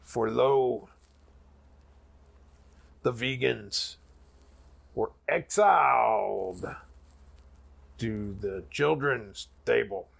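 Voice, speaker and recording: Gravelly, drawling-slow backwoods preacher. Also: male voice, medium pitch, full character voice. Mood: solemn, folksy. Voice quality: gravelly, raspy. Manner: drawn-out, folksy, dramatic pauses and sudden swells. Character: folksy backwoods male preacher storyteller, grandiose and solemn. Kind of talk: mock sermon storytelling.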